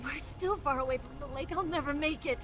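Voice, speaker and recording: A young female spy in an action game, played by a woman, with a high, breathy voice strained by injury. She is defiant and teasing but weary, and loud music plays behind her. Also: brisk, lightly acted. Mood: pained, resigned.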